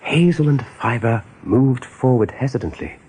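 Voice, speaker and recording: A man narrating a radio drama in a measured, scripted storytelling style. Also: medium pitch, expressive, a little mellow, husky.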